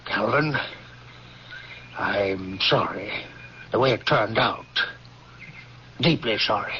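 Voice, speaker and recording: Defensive, guilt-ridden general, muffled sound. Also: male voice, lowish voice, takes it slowly, pushed and bright. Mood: regretful. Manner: pleading, defensive. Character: ambitious Civil War general, guilt-ridden but self-excusing. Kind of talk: old-time radio drama acting. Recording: muffled, noisy old radio drama tape.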